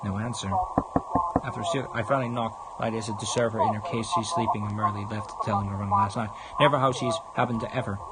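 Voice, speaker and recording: A man's voice: lowish voice, fast talker. A man livestreaming a visual novel, reading it aloud and commenting, often stumbling over the words. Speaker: game livestreamer. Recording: loud background noise.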